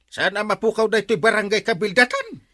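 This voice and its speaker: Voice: medium pitch, animated, a little fast, sharp tone, dry recording, studio quality. A performer playing a jolly, carefree village captain in an Ilocano comedy drama, exclaiming and chuckling. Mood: carefree, defensive.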